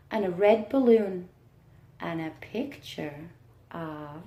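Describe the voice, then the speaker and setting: Slow, higher-voiced woman teacher in a roomy space. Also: darkish tone. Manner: encouraging, with questions and deliberate pauses. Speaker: early childhood teacher reading aloud to a class. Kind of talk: storytime read-aloud to young children. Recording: roomy, echoing room sound.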